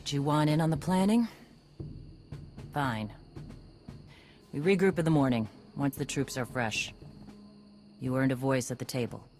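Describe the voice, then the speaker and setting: Actor as blunt battle-hardened warrior chieftain. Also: male voice, medium pitch, a little slow, long pauses, a little mellow, faint music behind, lightly acted. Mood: defiant. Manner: blunt, commanding. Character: gruff, proud male warrior chieftain, battle-hardened leader.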